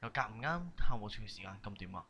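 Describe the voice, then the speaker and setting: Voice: mid-range voice, animated, fast, pushed and bright, a little hiss or hum. A performer of unclear sex playing a glum student who sighs over small everyday problems in an amateur radio skit, plaintive in manner. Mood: glum, complaining. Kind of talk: comic skit acting for a school radio drama.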